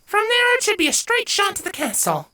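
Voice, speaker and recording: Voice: very high voice, wildly swooping pitch, brisk, sharp tone, pitched above the performer's own voice, full character voice. A storyteller voicing a bold, bookish young female changeling filly in a pony fantasy audiobook. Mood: nervous, instructing.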